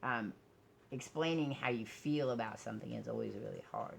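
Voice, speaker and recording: Really deep voiced lady doing a casual vlog, blunt, kinda halting, thinks out loud and puts herself down. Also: creaky, a little hiss or hum. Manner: candid, self-deprecating, blunt. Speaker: vlogger. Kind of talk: casual rambling personal vlog.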